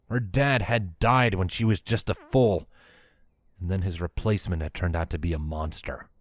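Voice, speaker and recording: Low-voiced man narrating a story, dry sound. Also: a little mellow, no room sound. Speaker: fan fiction reader, narrator.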